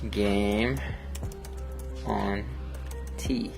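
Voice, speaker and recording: A man's voice: fairly low pitch, fairly even intonation, very slow, vocal fry, a little mellow, soft background music. A man with a Colombian accent, a Spanish native speaker, chatting on a live stream while he edits photos, rambling with lots of fillers. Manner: rambling and friendly, many fillers, reads chat aloud. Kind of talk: casual live-stream chat while editing.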